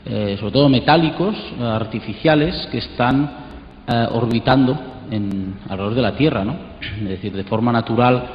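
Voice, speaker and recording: Hesitant man explaining, echoey playback. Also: on the low side. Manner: hesitant, informal explaining with tag questions. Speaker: astronomer being interviewed. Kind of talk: spontaneous explanatory interview talk. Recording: dull playback, echoey hall, faint music.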